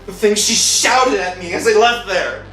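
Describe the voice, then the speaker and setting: An actor playing a desperate, haunted unemployed husband in an emotive 1960s radio horror drama style. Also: male voice, high-pitched, moderate pace, a bit bright. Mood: pleading, bitter. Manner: melodramatic, emotive, swinging from murmur to outcry. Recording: roomy old-time radio recording with music.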